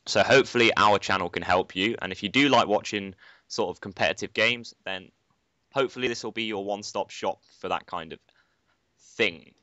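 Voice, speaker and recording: A man's voice: on the low side, fairly quick, pushed and bright, no echo, muffled recording. A teenage boy hosting a casual gaming commentary, chatty and rambling with lots of filler phrases. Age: teens. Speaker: channel host, gamer.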